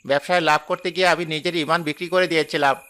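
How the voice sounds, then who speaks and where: A greedy village lemon seller rattles on in a quick, bright, sharp voice, as a man acts him out. He talks fast and pleads a lot. It plays out in a storybook cartoon. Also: a little high, fairly even intonation, clear, resonant tone. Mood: remorseful. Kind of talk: cartoon voice acting.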